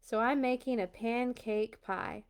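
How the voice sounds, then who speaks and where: A woman voicing an excitable, proud old farmer from a storybook, blustering and exclaiming. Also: fairly high pitch, unhurried, clear, resonant tone. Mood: proud, cheerful.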